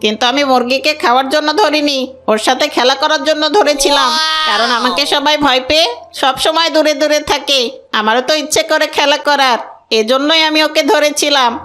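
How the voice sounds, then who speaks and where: Casting: woman to play a sly, wheedling cartoon jackal, a male trickster with a high, forceful voice. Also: fairly even intonation, moderate pace, soft background music. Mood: wheedling, defensive. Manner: wheedling, excuse-making. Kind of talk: children's cartoon voice acting.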